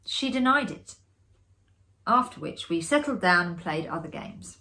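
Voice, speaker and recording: Woman doing an expressive ghost story reading, storyteller style, acts it out. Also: lowish voice, measured pace. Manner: expressive, acting out the dialogue. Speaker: storyteller, amateur theatre performer.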